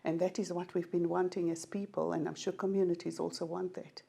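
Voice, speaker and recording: Woman, South African accent, low gravelly voice, earnest, plainspoken. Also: little pitch movement, brisk, warm tone. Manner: earnest, plainspoken, some fillers. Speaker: mayoral candidate.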